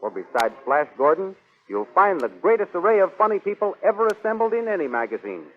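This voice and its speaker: A man's voice: high-pitched, very animated, fairly quick, faint music behind. A man narrating a 1930s radio serial as announcer, in a dramatic, declamatory showman's manner. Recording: tinny 1930s radio recording.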